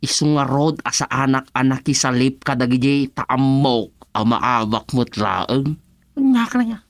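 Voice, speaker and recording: Boastful, excitable village husband. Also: male voice, medium pitch, unhurried, no echo. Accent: Ilocano. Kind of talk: radio drama comedy acting.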